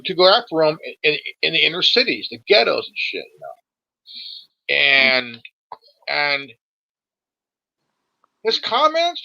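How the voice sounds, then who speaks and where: A man's voice: on the high side, creaky, pushed and bright, no room sound, dull audio. A man in his forties in a casual political discussion, sweary and emphatic, often stumbling and repeating his words. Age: 40s.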